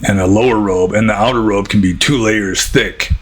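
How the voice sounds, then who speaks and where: A man with an American accent and a husky voice, talking informally and a little ramblingly as a Buddhist teacher answering questions. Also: fairly low pitch, steady tone, normal speed. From United States.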